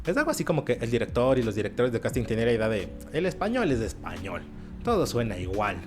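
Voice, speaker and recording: A man giving animated, sarcastic commentary in an opinion video. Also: medium pitch, quick pace. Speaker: YouTube commentator. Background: faint background music.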